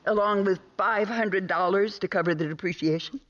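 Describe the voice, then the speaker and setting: An actress playing a detective's brisk female assistant in a radio drama, precise and gently needling. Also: medium pitch, muffled recording, lightly acted. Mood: dryly needling.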